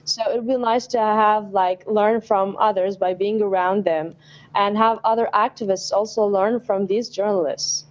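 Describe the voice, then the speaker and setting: A woman's voice: medium pitch, fairly even intonation, moderate pace, highs cut off. A young woman, a student guest, speaking plainly with some hesitation. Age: young adult. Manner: plain-spoken, hesitant with fillers. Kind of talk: casual interview answers.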